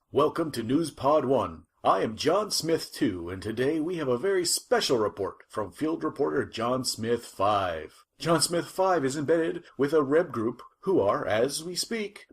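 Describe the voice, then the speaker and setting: A man's voice: mid-range voice, big pitch swings, measured pace, few pauses, breathy edge, heavily acted. A man playing an earnest futuristic news reporter in a scripted sci-fi audio drama. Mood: upbeat, official.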